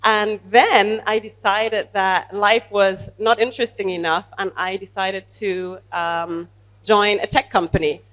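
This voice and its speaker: A middle-aged woman introducing herself on a live panel, with a loud, animated and chatty way of talking, speaking into a handheld mic at an event. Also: medium pitch, measured pace, poor audio. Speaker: panelist, venture capital investor. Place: event stage with audience. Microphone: handheld microphone.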